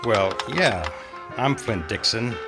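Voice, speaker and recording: A man's voice: lowish voice, lively intonation, slow pace. A man playing a world-weary, hard-boiled private eye narrating a noir radio drama. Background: loud music.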